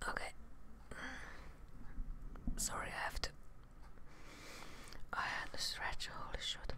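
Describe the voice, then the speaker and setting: A young woman whispering breathily into a close microphone, softly musing to herself as she comments on a game in ASMR style. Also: slow pace. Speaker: gaming ASMR streamer, host. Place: indoors, streaming setup. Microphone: close microphone in front of mouth, headphones on.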